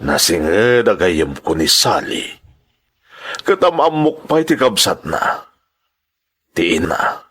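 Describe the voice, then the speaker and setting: Male doctor, very low husky voice, Ilocano accent, grave, deliberate, radio drama. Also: big pitch swings, a bit bright, heavily acted. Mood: grave, measured. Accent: Filipino, Ilocano.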